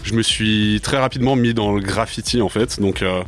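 Young man, low voice, halting answers. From France. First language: English. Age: 20s. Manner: hesitant, many fillers, relaxed. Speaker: rapper guest. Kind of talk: radio interview answers. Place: radio studio.

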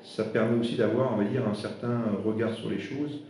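A man from eastern France whose first language is Alsatian, an interim HR director answering podcast interview questions in a halting way, full of fillers and restarts. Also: lowish voice, steady tone, darkish tone. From eastern France, Alsace. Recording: very echoey room with faint noise.

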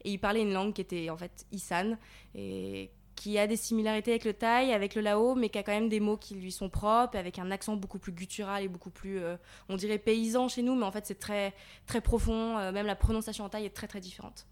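Young woman interviewee, mid-pitched voice, careful explanations with fillers. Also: a little fast, darkish tone. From Paris region, France. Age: 20s. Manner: thoughtful, explanatory, frequent fillers. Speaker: guest, volunteer. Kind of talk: interview answers.

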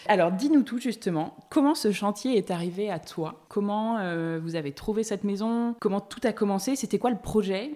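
A woman's voice: mid-range voice, expressive, normal speed, few pauses, warm tone. A woman hosting a podcast interview in a conversational, informal way.

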